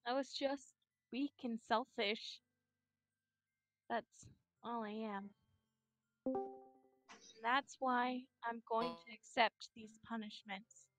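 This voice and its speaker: A woman's voice: on the high side, steady tone, slow, long pauses, a bit bright, soft background music. A woman voicing a despairing, self-blaming anime schoolgirl, tearful and tender, in a visual novel reading. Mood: guilty, sad.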